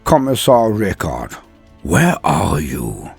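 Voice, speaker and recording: A man voicing a stern, weary army commander in an audio story, slow and deliberate. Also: sits low, wildly swooping pitch, gravelly, a little mellow, slightly rough, soft background music, heavily acted. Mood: stern, pressing. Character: stern, weary male army commander questioning an old friend. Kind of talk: dramatic audio story character voice.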